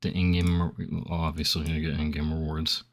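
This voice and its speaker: Young male streamer, very deep, slightly phlegmy, loose filler-heavy chatter. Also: little pitch movement, normal speed, creaky. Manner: rambling, heavy on "like", reads options aloud then reacts. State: phlegmy throat. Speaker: gaming streamer. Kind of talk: casual commentary while filling out a survey.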